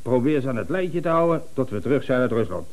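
A man plays a self-important company director in a radio comedy. He has a mid-pitched voice and blusters emphatically, sure he is never wrong. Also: sing-song, fast talker, a little mellow, close to an everyday voice. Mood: self-satisfied, commanding. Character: pompous, infallible, domineering male company director.

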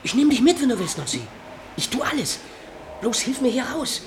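A man's voice: very high-pitched, sing-song, a little fast, full character voice. An actor playing a young male Scottish soldier on the run, who talks urgently and pleads in a radio play. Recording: noisy old radio recording.

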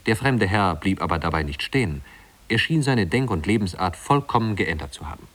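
A man's voice: low voice, very animated, a little fast, a bit bright. A man narrating a literary tale in a 1950s German radio play, composed and articulate.

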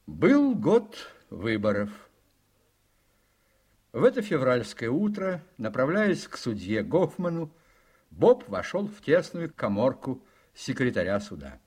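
A man reading dramatic scripted narration for a radio play in an expressive, literary manner. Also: medium pitch, takes it slowly, breathy edge.

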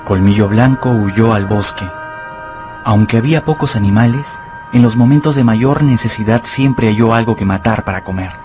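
A man's voice: lowish voice, normal speed, over music. A man narrating a radio novel as a scripted, dramatic storyteller.

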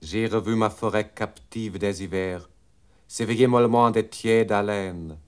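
A man voicing a computer unit in a radio play, declaiming classical verse in a measured way. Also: fairly low pitch, fairly even intonation, smooth, natural voice. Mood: declamatory. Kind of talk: radio play poetry recitation.

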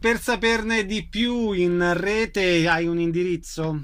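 A man's voice: high-pitched, fairly even intonation, slow pace, fairly bright tone, no echo. A man working as an interviewer, asking short casual questions.

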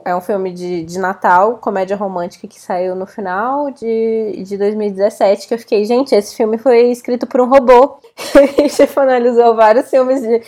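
A woman's voice: medium pitch, darkish tone. A Brazilian woman in casual podcast chat, informal and a little hesitant as she thinks aloud. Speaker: podcast panelist.

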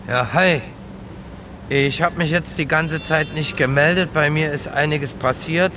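Outdoor street noise surrounds a man acting a hapless homeless man in a radio play. His voice sits slightly high. He reports earnestly and plainly, in colloquial language, point by point. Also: unhurried, lightly acted. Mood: earnest, puzzled.

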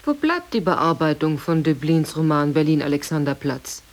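A woman's voice: sits low, sing-song, moderate pace. A woman formally reading out archive texts as a quotation reader in a radio documentary.

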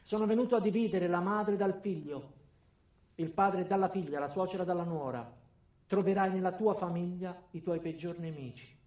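High-voiced bitter man played by an actor, menacing monologue. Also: natural voice. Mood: cold, detached. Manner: theatrical, deliberate, swinging from loud to hushed. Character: bitter ordinary man turned cruel and menacing. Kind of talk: dramatic first-person radio monologue.

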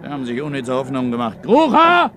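An actor playing a curt, evasive first mate of a cargo ship in a 1950s German radio play. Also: male voice, mid-range voice, huge pitch swings, fast talker. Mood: shouting orders. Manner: curt, authoritative questioning. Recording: noisy 1950s radio recording.

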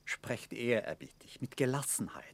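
An actor playing an earnest knight in a classical radio drama, counselling urgently with emphatic stage delivery. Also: male voice, mid-range voice, animated, moderate pace, vocal fry, no echo, whispered. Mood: cautioning.

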